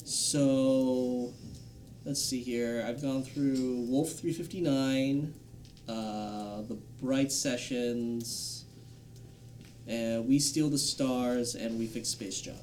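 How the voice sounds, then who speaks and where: Mid-pitched man over faint noise. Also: fairly even intonation, very slow, darkish tone. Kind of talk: casual chat.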